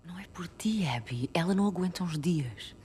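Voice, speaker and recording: A woman's voice: lowish voice, animated, fast talker, a little mellow, slight background noise, lightly acted. An actress dubbing a blunt, wary young woman medic in European Portuguese for a video game drama.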